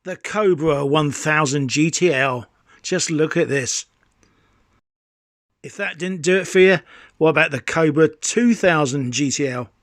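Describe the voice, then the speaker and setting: A man's voice: a little high, a little slow, dry recording, clean studio sound. A man in his fifties presenting a hobby showcase in an enthusiastic, savouring, exclamatory way. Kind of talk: enthusiastic product showcase narration.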